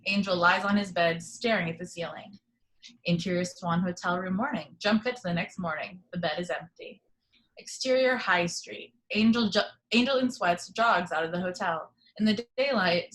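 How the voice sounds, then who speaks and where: Woman narrating screenplay action, medium pitch. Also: a bit bright, rough recording. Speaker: narrator reading action descriptions. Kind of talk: scripted narration for table read.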